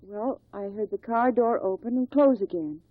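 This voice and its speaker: An actress playing an earnest, certain housewife giving polite testimony in a 1950s radio drama. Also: female voice, medium pitch, normal speed, no echo, lightly acted. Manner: polite, conversational, emphatic recollection. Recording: dry, thin, phone-like vintage radio recording.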